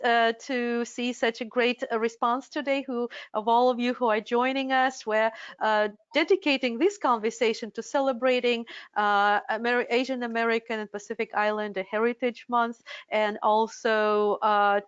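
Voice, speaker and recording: Brief: woman host, hesitant with lots of pauses, higher clear voice, dry dull call sound. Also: no echo. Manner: hesitant, many filler pauses. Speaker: webinar host. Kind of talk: welcoming introductions on a video call. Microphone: video call. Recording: dull lo-fi video call audio.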